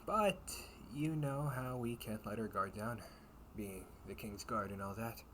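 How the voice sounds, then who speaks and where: Over clear background noise comes a man acting as a male knight captain in an audio roleplay. He takes his time, phrasing things in a courtly, old-fashioned way. His manner is that of a kindly mentor who gently teases his young trainees. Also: fairly low pitch, measured pace. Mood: amused, apologetic. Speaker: amateur audio roleplay performer. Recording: clearly noisy home recording with ambience.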